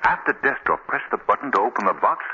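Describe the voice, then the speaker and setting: An actor playing a confident, urbane gentleman detective in a 1940s radio drama. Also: mid-range voice, sing-song, fast talker, slightly rough, heavily acted. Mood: urgent. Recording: dull, faintly noisy 1940 radio recording.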